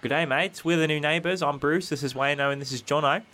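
A man's voice: a little high, bright, forceful tone. A man playing a cheeky, scheming convict who talks in matey slang in a comic story. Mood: breezy bluffing. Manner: matey, slangy fast-talker. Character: cheeky, scheming male convict posing as easygoing nobleman.

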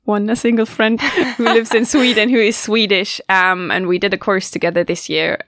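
A woman's voice: mid-range voice, fairly even intonation, normal speed, a bit bright, dry recording. Young german woman hosting student radio, chatty, laughs a lot, dry studio sound. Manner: chatty, laughs often, restarts sentences. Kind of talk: casual radio chat.

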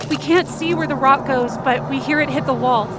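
A frightened, determined young woman voiced by an actress narrates a supernatural ordeal in the first person. Her high voice is tense and breathless, and music plays clearly behind her. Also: moderate pace, natural voice. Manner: tense, breathless, intense present-tense storytelling. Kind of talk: dramatic first-person scripted narration, audio fiction. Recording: music bed under the voice. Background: dramatic music.

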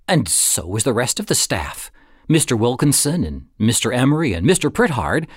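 A man narrating a story in a deliberate, dramatic way, with expressive phrasing and suspenseful pauses. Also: on the high side, no echo, clean studio sound. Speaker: audiobook narrator.

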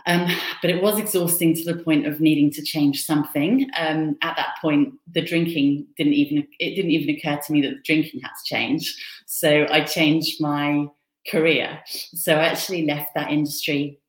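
Low-voiced woman telling her story, roomy sound. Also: fairly even intonation. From Switzerland. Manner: reflective, open, unhurried telling. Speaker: guest. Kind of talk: candid personal recovery story. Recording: roomy echo.

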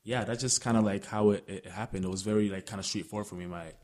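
A young filmmaker chats easily about how he started out, with plenty of fillers and laughs. His voice is on the low side. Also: fast talker. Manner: relaxed, many fillers like "like" and "you know", laughs. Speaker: filmmaker guest. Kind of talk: casual conversation.

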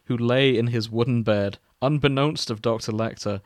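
A man's voice: medium pitch, moderate pace, no echo, clean studio sound. A man hosting a comic fan fiction reading, narrating theatrically with amused, casual banter.

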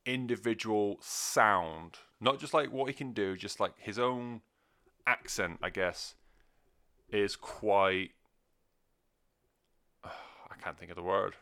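A man's voice: lowish voice, slow pace, pauses often, bright, forceful tone. A man talking casually and off the cuff as a music reviewer, rambling, sweary and self-deprecating. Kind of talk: casual unscripted review chat.

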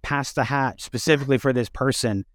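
A man's voice: medium pitch, fairly even intonation, a little fast, no room sound, studio quality. A man hosting a casual, conversational interview show. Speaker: podcast host interviewing theatre artists.

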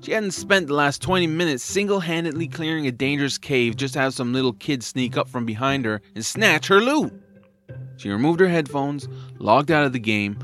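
A man's voice: mid-range voice, fairly bright tone. A man narrating a scripted comedy audio drama in a wry, dramatic storytelling manner.

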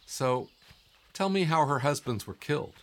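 An actor playing a calm, wise and assured guide in an audio drama, instructive and encouraging in manner. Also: male voice, fairly high pitch, moderate pace. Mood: assured, urging. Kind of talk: scripted audio drama acting.

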